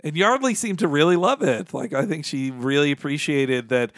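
A man co-hosting a podcast, chatting casually and enthusiastically, quick to laugh. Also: fairly high pitch, no room sound, studio quality. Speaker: podcast co-host. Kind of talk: casual chat recounting a fan outing.

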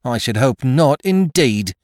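Shrill scolding young chambermaid, voiced by a man, high and sharp, dry sound. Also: lively intonation, measured pace, no echo, lightly acted. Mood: indignant. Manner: shrill, scolding, common speech.

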